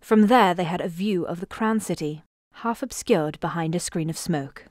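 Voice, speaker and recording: A woman acts as narrator of an epic audio drama. Her voice is somewhat low and recorded dry, reading scripted storytelling. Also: normal speed, a little mellow, dry recording, lightly acted. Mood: somber.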